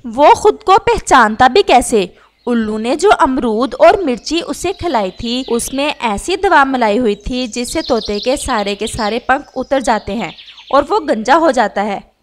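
A woman's voice: high-pitched, slight background noise. A woman reading scripted narration for a children's story as its narrator.

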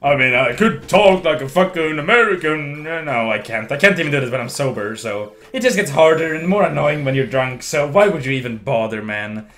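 A man's voice: fairly high pitch, expressive, a little fast, few pauses, a bit bright. A young Swedish man with a strong Swedish accent, drunk, rambling and slurring through casual commentary while streaming a video game. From Sweden. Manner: rambling, giggly, slurring and restarting words. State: voice going hoarse. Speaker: livestream gamer.

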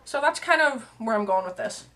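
A woman's voice: on the high side, animated, brisk, fairly bright tone. A young woman from Canada vlogging casually to her camera from a small room, rambling with lots of fillers and asides while her voice wears out. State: voice worn and fading. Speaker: beauty and tea vlogger. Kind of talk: casual chatty vlog. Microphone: webcam at arm's length.

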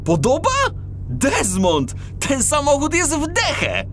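An eager cat detective from a children's audio drama. His delivery swings up and down with open, exclamatory enthusiasm. Music plays clearly behind him. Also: very high-pitched, wildly swooping pitch, slow pace, fairly bright tone, heavily acted. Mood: excited. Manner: exclamatory, wonder-struck. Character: excitable male cat police detective, boyish and enthusiastic.

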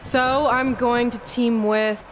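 Wry, higher-voiced champion wrestler. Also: female voice, fairly even intonation, slow pace, a little hiss or hum. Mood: dry. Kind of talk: video game acting, narration and dialogue.

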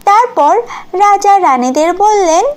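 A female storyteller reads scripted cartoon fairy-tale narration. Her voice is extremely high, almost childlike in pitch, and she takes it very slowly. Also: expressive.

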